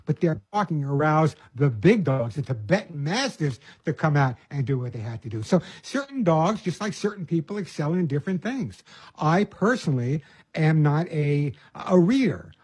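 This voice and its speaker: A man's voice: fairly high pitch, very animated, moderate pace, a little mellow, slightly rough, no room sound. An older man from Long Island, a pet show host and dog trainer, talking casually in a rambling way with restarts and folksy asides. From Long Island, New York. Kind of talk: casual monologue.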